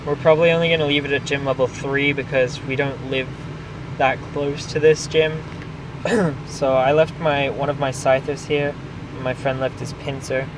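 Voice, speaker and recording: A young man chats casually about the game he is playing, pausing often with ums and restarting his sentences. His voice sits in a flat, middle register, and steady traffic noise hums behind him outdoors. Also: medium pitch. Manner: relaxed, many ums and restarts. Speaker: gaming vlogger. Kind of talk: casual gameplay narration. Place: outdoors near a restaurant and park.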